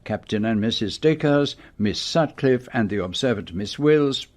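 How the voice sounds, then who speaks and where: A man acting in a radio mystery drama as a shrewd, methodical detective who speaks precisely and deliberately, with theatrical pauses. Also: mid-range voice, expressive, lightly acted. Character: shrewd, methodical detective explaining his solution.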